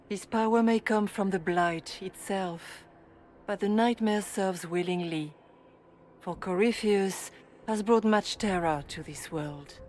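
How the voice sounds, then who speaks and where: A woman playing a serene spirit in the guise of a holy leader, speaking in a measured, formal way for a video game. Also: on the low side, a little mellow, a little hiss or hum, close to an everyday voice.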